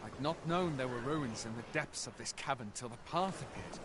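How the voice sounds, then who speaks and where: A man's voice: medium pitch, brisk, noisy background, natural voice. An actor playing a cautious, dutiful male soldier in a video game, speaking in old-fashioned, earnest phrases. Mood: puzzled. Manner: archaic, earnest reporting.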